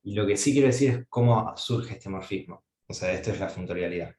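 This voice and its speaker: A man's voice: fairly low pitch, a little fast, a little mellow, roomy sound. A man giving an academic mathematics lecture, thinking aloud with frequent fillers and restarts.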